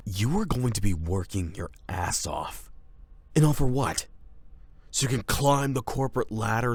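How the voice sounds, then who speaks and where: A man playing a cocky, rebellious high school delinquent in an anime boyfriend roleplay, talking in a casual, sardonic, slangy way. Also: a little mellow, no echo. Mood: cynical, heated.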